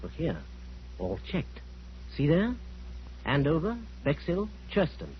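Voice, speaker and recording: An actor playing a breezy upper-class English gentleman with an animated, hearty British delivery in a 1940s radio drama. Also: a little high, slow pace, exaggerated voice. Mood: grim, urgent. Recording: muffled, hissy 1940s radio recording.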